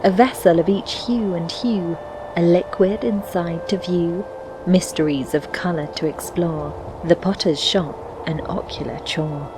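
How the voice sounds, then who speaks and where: A woman voicing a dreamy, curious adventurer, reciting rhyming verse as slow, measured storybook narration in a fantasy game. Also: on the low side, background noise, close to an everyday voice. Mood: dreamy, wondering. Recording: music under the voice. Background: music.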